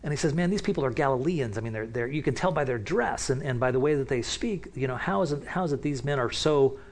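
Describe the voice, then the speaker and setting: A man teaching from the Bible in a relaxed, conversational way, often restarting sentences and picking up energy as he warms to a point. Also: medium pitch, fast talker. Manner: casual, with many restarts and asides. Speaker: pastor.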